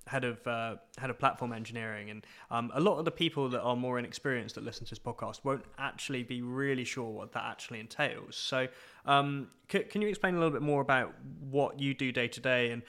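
Man, podcast host, fast talker, eager, stumbles on words. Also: mid-range voice, a bit bright. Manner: enthusiastic, stammering repeats. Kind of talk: casual interview chat.

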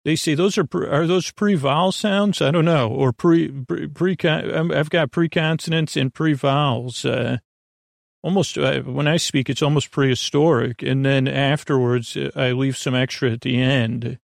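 A man with a creaky voice hosts a sleep podcast, rambling through a bedtime monologue with halting repetitions and drawn-out words. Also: mid-range voice, fairly even intonation, no echo, clean studio sound. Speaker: podcast host. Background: music.

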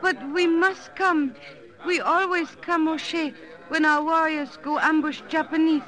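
A woman's voice: high-pitched, steady tone, a little slow, fairly bright tone. A woman playing a stubborn village tribeswoman in an old radio drama, emphatic in broken English. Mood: insistent. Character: stubborn village tribeswoman insisting on joining the fight. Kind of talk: 1950s radio drama acting.

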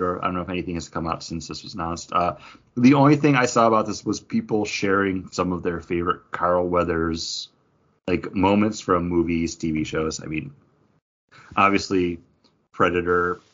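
A man's voice: low voice, a bit bright, highs cut off. A man hosting a casual pop culture news chat in a conversational way, often acting out imagined quotes. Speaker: podcast host.

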